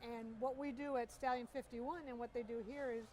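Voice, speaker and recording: A middle-aged woman with a voice pitched a little high tells stories with lively enthusiasm. She is interviewed outdoors at an air show, with faint background noise around her. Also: a little fast, low-quality recording. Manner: animated, enthusiastic storyteller. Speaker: interview guest. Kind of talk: storytelling interview.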